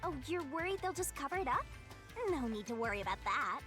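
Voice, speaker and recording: A woman's voice: sits high, big pitch swings, quick pace, a bit bright. A woman voicing a boastful, lazy young female death-god guide in an anime-style video game, theatrical, smug and playful. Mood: playful, confident. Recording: game music behind the voice.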